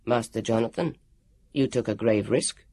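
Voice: medium pitch, lively intonation, normal speed, a little mellow, no echo. The narrator voicing a formal, solemn grown man who speaks stiffly and precisely.

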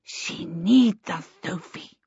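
Lady doing a little storybook boy, soft lispy sweet voice, coy n wheedling, draws words out. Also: lowish voice, creaky, breathy edge, no room sound, highs cut off, heavily acted. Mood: wheedling, earnest. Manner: coy, drawn-out, devout politeness.

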